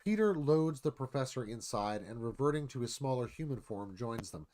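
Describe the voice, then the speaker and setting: A man's voice: mid-range voice, expressive, moderate pace, a little mellow, a little hiss or hum. A man reading dramatic scripted narration as screenplay narrator, in clipped phrases.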